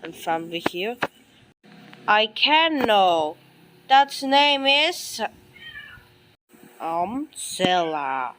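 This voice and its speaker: A woman's voice: medium pitch, sing-song, drawn-out words, long pauses, a bit bright. A woman improvising a dramatic scenario, speaking in a hesitant, repetitive way. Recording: noisy homemade recording.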